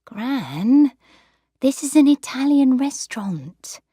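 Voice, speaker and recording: A patient young woman coaxes her grandmother in a high, lilting voice, as a woman puts her on. The reading is slow and dry. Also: big pitch swings, dark tone, breathy edge, no room sound, exaggerated voice. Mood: coaxing. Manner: coaxing and patient.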